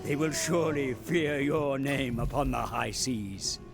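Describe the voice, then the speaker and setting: An actor voicing an ominous advisor in a video game, speaking in a grand, portentous way. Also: male voice, medium pitch, measured pace, creaky. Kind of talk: game event narration. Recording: game audio under music.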